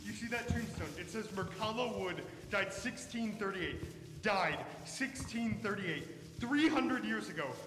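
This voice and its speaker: Radio drama, echoey hall: male actor as obsessed, pleading vampire-hunting father, high-pitched and theatrical. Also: measured pace, a bit bright. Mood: urgent, pleading. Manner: urgent, emphatic, theatrical. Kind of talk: old-fashioned radio melodrama acting. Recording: echoey hall with faint noise.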